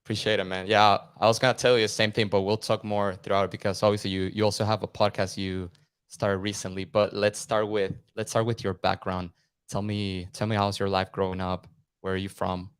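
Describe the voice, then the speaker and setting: A man's voice: lowish voice, level tone, fairly quick, clear, resonant tone. A man in his twenties from Venezuela with a Venezuelan Spanish accent, hosting a podcast interview in an easygoing, chatty way and laughing often. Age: 20s. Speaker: podcast host. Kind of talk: casual interview chat.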